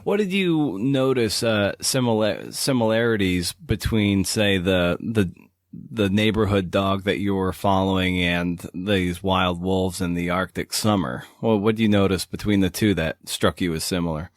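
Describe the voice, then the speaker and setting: A man with a fairly low voice hosts a conversational podcast interview. He phrases questions thoughtfully, finding the wording as he goes. The sound is very dry. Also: unhurried, dry recording.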